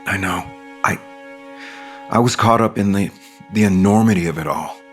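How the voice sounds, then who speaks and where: An actor playing a supportive but conflicted man in love in an audio soap opera, gentle, sincere and sometimes halting. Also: low voice, faint music behind, close to an everyday voice. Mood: guarded, conflicted.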